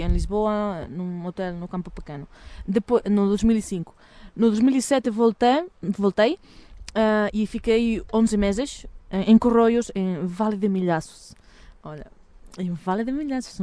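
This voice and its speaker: A woman's voice: medium pitch, dark tone, no echo. A woman who learned Portuguese abroad, speaking in a casual, laughing chat outdoors by a windmill. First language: not Portuguese. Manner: laughs often, chatty, self-deprecating. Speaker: guest.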